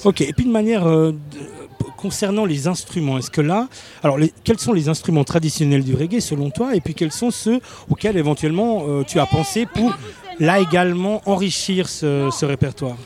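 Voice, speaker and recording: A man's voice: on the high side, expressive, moderate pace, a little mellow. A male interviewer asking long, rambling questions with restarts in a casual conversation.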